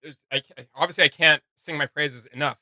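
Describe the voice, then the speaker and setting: A man chats casually as a reaction host, full of jokey slang and exclamations. His voice is higher than most men's and very bright, recorded dry with faint music behind. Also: a little fast, no room sound.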